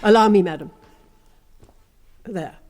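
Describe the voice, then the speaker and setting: A severe, formal English housekeeper. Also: female voice, medium pitch, a little mellow. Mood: coldly formal. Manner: formal, measured, icily polite. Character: gaunt, severe older housekeeper, coldly formal and deferential. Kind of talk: radio drama acting.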